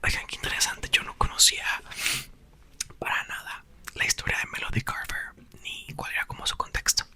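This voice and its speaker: A young man reads a novel entirely in a hushed ASMR whisper. The breathy whisper has a very bright, crisp edge. Between passages he slips into casual, playful comments to his listeners. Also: moderate pace. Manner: soft whispered reading with playful chatty asides. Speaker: ASMR host reading aloud. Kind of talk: whispered ASMR book reading.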